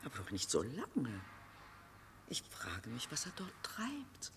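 An actress playing a genteel older sister in a radio drama, prim, wondering and deferential. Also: female voice, a bass voice, huge pitch swings, slow, gravelly, a little hiss or hum, heavily acted. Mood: puzzled. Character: genteel old lady, hesitant sister, deferring yet prim. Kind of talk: German radio drama acting.

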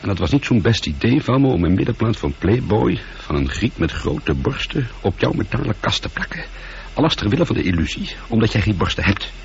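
A man's voice: fairly low pitch, sing-song, brisk, creaky. An actor playing a bored, irritable space colonel in his forties in a radio drama, with a sardonic, grumbling, teasing manner. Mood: irritated, taunting. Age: 40s. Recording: dull, noisy old radio recording.

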